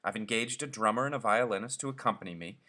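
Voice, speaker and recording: A man's voice: lowish voice, fast, sharp tone. A man voicing an eager, vain, ingratiating headmaster in an effusive, pressing way for a storybook reading.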